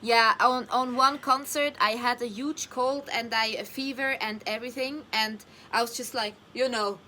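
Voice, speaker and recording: High, bright woman who searches for words. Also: fairly even intonation, normal speed, slight background noise. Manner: searches for words, laughs easily. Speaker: singer, interviewer. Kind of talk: casual interview chat.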